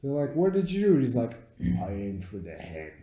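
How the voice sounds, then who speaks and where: A man's voice: mid-range voice, huge pitch swings, fairly quick, crowd noise. A man co-hosting a casual film review, retelling loosely and animatedly with free swearing, recorded in an echoey room. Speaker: podcast co-host.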